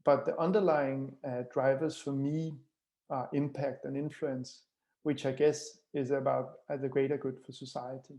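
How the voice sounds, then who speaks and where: Creaky-voiced Danish-accented man. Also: medium pitch, level tone, warm tone. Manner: thoughtful, halting, many repeated words and fillers. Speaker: author and webinar guest. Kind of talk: webinar talk, answering questions and reading chat.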